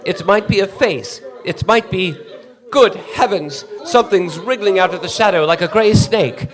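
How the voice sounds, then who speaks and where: An actor playing a frantic radio field reporter in a radio drama, breathless and urgent as an eyewitness. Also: male voice, high voice, normal speed, lightly acted. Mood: alarmed awe. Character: frantic male radio field reporter, awestruck then terrified.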